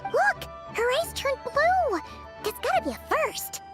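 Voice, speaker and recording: A woman voicing a tiny, excitable, childlike fairy companion in a video game, squeaky and exclamatory, naive and a little greedy. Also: unhurried, full character voice. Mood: amazed. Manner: speaks of herself in the third person, exclamatory. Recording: loud music behind the voice.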